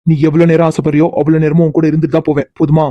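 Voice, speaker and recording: A man playing a tender, protective man holding back sadness, speaking gently and reassuringly in an emotional audio drama. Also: fairly high pitch, flat delivery, rapid-fire, no room sound, dull audio, lightly acted. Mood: restrained sadness.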